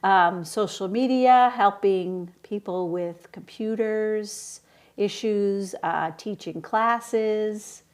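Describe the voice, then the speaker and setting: A woman's voice: medium pitch, slow pace. A woman hosting a library interview show, friendly and encouraging, with frequent ums as she asks questions. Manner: friendly, encouraging, many fillers. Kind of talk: casual interview.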